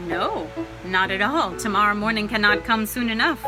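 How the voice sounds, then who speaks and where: A woman's voice: medium pitch, lively intonation, normal speed, over music, natural voice. A woman playing an earnest married pharmacy owner in a musical theatre table read, speaking with polite, effusive period formality. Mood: grateful, eager.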